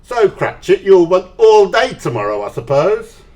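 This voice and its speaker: A man's voice: sits high, big pitch swings, measured pace, a little mellow. A man acting a miserly, grumpy old businessman in an audio play, curt, scornful and dismissive. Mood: grudging, irritated.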